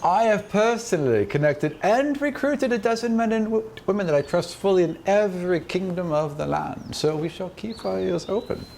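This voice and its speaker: A man voicing a loyal female spymaster who reports to her ruler in a formal, measured, deferential manner. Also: high voice, gravelly, a little mellow, slight background noise, voice pushed up. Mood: formal, dutiful.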